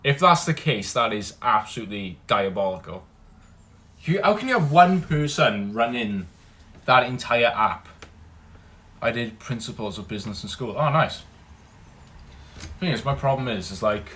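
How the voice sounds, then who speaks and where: Man, young, Welsh accent, livestream host, casual chat, bright forceful voice, faint room noise behind. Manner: greets viewers loudly and energetically, drops to a low mumble when musing.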